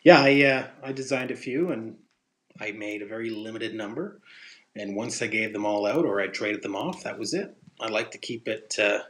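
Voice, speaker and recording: Easygoing guest trading jokes. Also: male voice, fairly low pitch, moderate pace, fairly bright tone. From Alberta, Canada. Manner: easygoing, joking banter. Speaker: guest co-host. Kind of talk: casual livestream chat.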